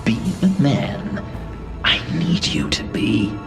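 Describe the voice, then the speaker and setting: Wounded obsessive game villain, slow drawn-out taunts, roomy echo, music behind. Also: male voice, mid-range voice, expressive, gravelly. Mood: menacing glee. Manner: theatrical, taunting delivery. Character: unhinged, theatrical young male villain, obsessive and wounded. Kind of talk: video game voice acting.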